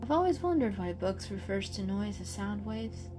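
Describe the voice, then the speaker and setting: A woman voicing a wary, sensible female house cat in a storybook, speaking in a measured, matter-of-fact way. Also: mid-range voice, expressive, over music, lightly acted. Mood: musing.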